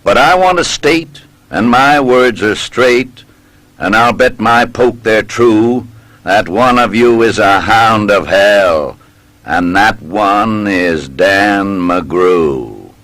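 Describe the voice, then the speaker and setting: A man voicing a vengeful, defiant stranger from the gold creeks in a recited poem, declaiming deliberately. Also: lowish voice, fairly bright tone, no room sound, poor audio. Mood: grim, accusing.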